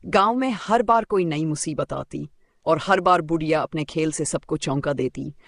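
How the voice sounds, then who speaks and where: A man narrating a scripted folk tale in a suspenseful, deliberate storytelling manner. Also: fairly high pitch, vocal fry, fairly bright tone, no room sound.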